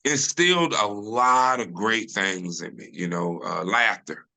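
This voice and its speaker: Deep-voiced comedian man, forceful. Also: a little slow, creaky. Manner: relaxed storyteller, many restarts and "you know". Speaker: comedian guest. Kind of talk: casual interview chat. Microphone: video call.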